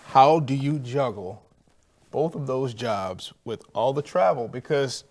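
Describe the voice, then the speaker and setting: A man's voice: medium pitch, lively intonation, a little slow, darkish tone. A man with an American accent hosting a sports interview show, putting conversational questions to his guests. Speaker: host of a college football coaches' show.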